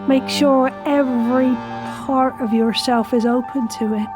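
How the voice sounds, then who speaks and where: An actress playing a solemn woman who leads a spell ritual in an audio drama, giving careful, halting instructions over music. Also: sits high, fairly even intonation. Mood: careful, coaxing. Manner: hesitant, instructive, grave. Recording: music behind the voice.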